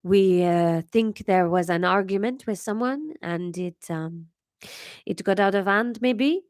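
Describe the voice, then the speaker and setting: Woman putting on a French father, measured and slow, worried, speaking carefully. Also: mid-range voice, darkish tone, dry recording, clean studio sound, close to an everyday voice.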